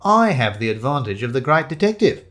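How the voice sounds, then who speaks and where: A man's voice: medium pitch, big pitch swings, brisk, a bit bright. A man voicing a pompous, deluded amateur detective in a comic story, speaking formally and bookishly.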